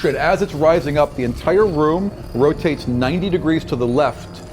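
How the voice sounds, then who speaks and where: A man's voice: a little high, moderate pace, noisy background. A man narrating a tabletop fantasy game as game master, shifting between descriptive storytelling and casual table talk. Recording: music bed under voice. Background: background music.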